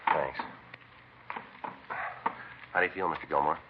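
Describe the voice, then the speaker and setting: An actor playing a terse American police detective in a vintage radio drama, clipped, deadpan and matter-of-fact. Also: male voice, rumbling low, measured pace. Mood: polite, probing. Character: terse, businesslike Los Angeles police detective sergeant. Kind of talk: 1940s-50s radio acting, first-person police narration. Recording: muffled hissy vintage radio recording.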